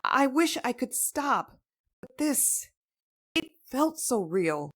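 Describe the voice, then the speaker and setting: Troubled young wife played by a woman: slow, halting delivery, expressive swings, emotional comic-book drama. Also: high voice, full character voice. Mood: distraught, pleading. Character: distraught young wife shaken by a haunting dream. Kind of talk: dramatic comic dub acting.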